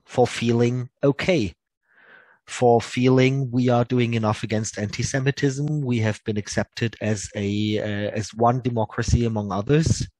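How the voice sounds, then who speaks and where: A man speaking as a panelist in a webinar, measured and thinking aloud. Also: medium pitch, steady tone, darkish tone, dry recording, low-quality recording.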